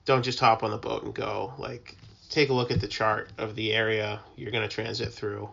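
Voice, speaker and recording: A man talking casually as a guest, explaining things informally and haltingly as he thinks aloud. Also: lowish voice, flat delivery, vocal fry, a bit bright, muffled recording. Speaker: tugboat mariner, podcast guest.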